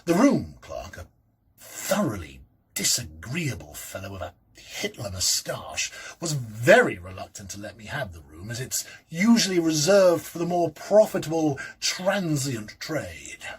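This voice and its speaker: An actor in a dramatic reading, playing a lonely, timid, middle-aged academic who muses in a hesitant, fussy, precise way. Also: male voice, medium pitch, big pitch swings, measured pace, slightly rough, exaggerated voice. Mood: indignant. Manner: hesitant, musing, theatrical, precise wording. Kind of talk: performed dramatic reading of a classic ghost story, first-person monologue.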